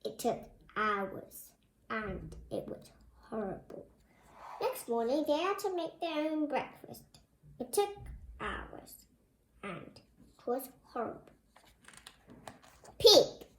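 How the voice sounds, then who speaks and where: A child reading a picture book aloud in an expressive way, with spontaneous exclaiming side comments. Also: mid-range voice, takes it slowly, long pauses, a little hiss or hum. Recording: roomy, echoing home recording.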